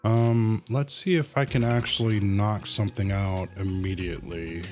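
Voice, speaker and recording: A man livestreaming a video game with casual commentary, thinking aloud in a halting way. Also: fairly low pitch, steady tone, darkish tone, faint music behind.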